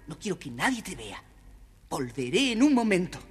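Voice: mid-range voice, sing-song, normal speed, husky. An actor playing an eager, lovestruck young prince in a children's fairy tale, with theatrical exclamations. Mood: smitten, eager. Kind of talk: children's audio story acting.

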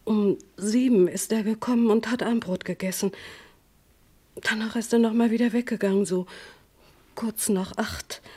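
An actress playing a subdued, defensive widow under police questioning in a radio crime drama, speaking haltingly. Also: female voice, a little high, fairly even intonation, dark tone, husky. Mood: subdued, uneasy.

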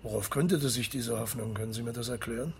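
A man's voice: mid-range voice, fast talker, gravelly, slight background noise, close to an everyday voice. Man playing a sober, probing police investigator. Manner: matter-of-fact, formal questioning. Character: sober, persistent male Carabinieri investigator, polite and probing. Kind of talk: radio play acting.

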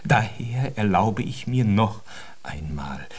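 Fawning biographer with swooping intonation. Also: male voice, fairly low pitch, very animated, slow pace, husky, full character voice. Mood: pleading. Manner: obsequious, ornate, ceremonious. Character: fawning, long-winded male biographer of a great composer. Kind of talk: German radio drama acting.

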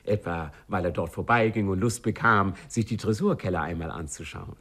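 A man narrating a satirical 1950s radio play as a wry, composed storyteller who speaks deliberately to the listener. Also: sits low, fairly bright tone, slightly rough, full character voice.

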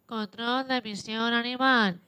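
Woman as very slow, clear-voiced flight director. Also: on the high side, fairly even intonation, sharp tone, no room sound. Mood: official. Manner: measured, deliberate announcing. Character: female mission control flight director counting down launches. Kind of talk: radio play announcement. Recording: music behind the voice.

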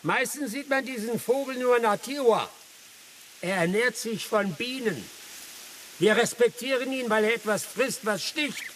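An actor playing a calm, wily old village man in a German radio drama, reassuring and folksy. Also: very high voice, moderate pace, slight background noise. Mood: calm, knowing. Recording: music behind the voice. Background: music.

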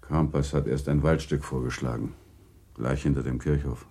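A man playing a grave, courteous gentleman in a radio drama, speaking in a measured, formal and resigned manner. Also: a bass voice, fairly even intonation, gravelly, slightly rough, full character voice. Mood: sober, moved. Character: grave, courteous gentleman, confidant and second in a duel. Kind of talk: German radio drama acting.

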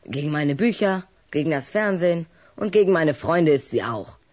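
A man's voice: fairly high pitch, animated, moderate pace, darkish tone, lightly acted. A young male actor playing a wary, cheeky schoolboy in an audio drama, guarded and defiant. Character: wary, cheeky schoolboy who loves scary books.

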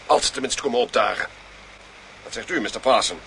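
A man's voice: mid-range voice, fairly quick, lightly acted. An actor playing a commanding, hot-tempered, middle-aged father and mine owner in a radio drama, barking imperious orders. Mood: stern, commanding. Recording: radio play with loud outdoor noise.

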